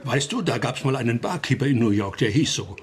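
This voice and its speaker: Ein Schauspieler spielt in einem deutschen Hörspiel einen jovialen, verschmitzten Barbesitzer mittleren Alters, der umgangssprachlich poltert. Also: male voice, mid-range voice, animated, a little fast, lightly acted. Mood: jovial, sly. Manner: chuckling, colloquial, blustery. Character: jovial, cagey bar owner with contempt for swindlers. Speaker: restaurant owner in a radio drama. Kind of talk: German radio play acting.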